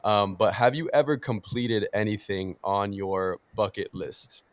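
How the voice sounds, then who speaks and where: A man's voice: lowish voice, measured pace, no echo. A young man from Miami, whose first language is Portuguese, hosting a podcast in a chatty, enthusiastic way with many fillers. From Miami, USA. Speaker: podcast host. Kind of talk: casual interview conversation.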